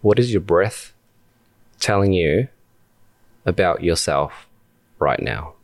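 A man leading a guided meditation as a podcast host, speaking calmly and deliberately in short phrases with long pauses. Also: sits low, creaky, no echo.

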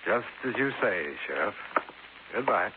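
An actor playing a cool, persistent insurance investigator who also narrates a 1950s radio drama, clipped, dry and matter-of-fact. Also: male voice, on the low side, sing-song, measured pace. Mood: insistent. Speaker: lead and narrator. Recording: noisy, narrow 1950s radio broadcast.

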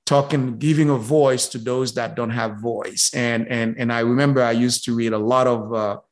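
A middle-aged man from Haiti, a guest speaking casually in an interview, halting and repeating words as he goes. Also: medium pitch, steady tone. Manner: slow, halting, many repeated words and fillers. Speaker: guest, business professor.

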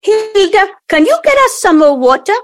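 A woman in an audio play acting an earnest, effusive younger daughter who smooths things over but bristles easily. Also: very high voice, very animated, normal speed. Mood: placating.

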